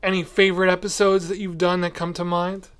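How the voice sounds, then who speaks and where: A man's voice: high voice, level tone, fairly quick, a bit bright, clear, resonant tone. A man hosting a podcast, asking questions in a casual interview style. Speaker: podcast host.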